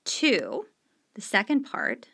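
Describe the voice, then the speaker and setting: A middle-aged woman speaks as a teacher walking students through reading questions. She talks in an unhurried, deliberate way, leaning on key words and repeating each question while she marks up the page with a pen. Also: mid-range voice, huge pitch swings, vocal fry, a bit bright, slightly rough. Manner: annotating on screen with a pen while talking. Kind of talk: explaining discussion questions to students.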